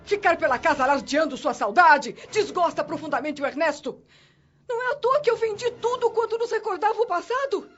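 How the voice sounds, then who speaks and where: A woman's voice: very high voice, brisk, a bit bright. An actress with a Brazilian accent playing a cold, scolding mother in a radio soap opera, stern and impatient. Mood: cold, irritated. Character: cold, scolding remarried mother silencing her daughter. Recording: muffled audio with music underneath.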